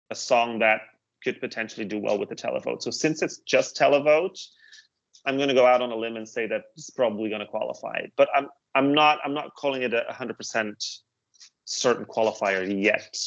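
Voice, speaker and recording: Man, mid-pitched voice, casual review chat, stop-start phrasing, somewhat muffled sound. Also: a bit bright. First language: Dutch. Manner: hesitant, repeats words while thinking. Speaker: co-host reviewing songs.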